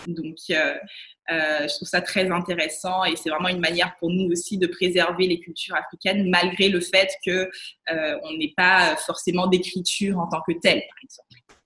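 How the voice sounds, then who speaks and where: A woman from Côte d'Ivoire hosting a podcast, asking questions in a relaxed conversational way with frequent restarts. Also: fairly low pitch, moderate pace. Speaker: podcast host. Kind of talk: casual interview chat.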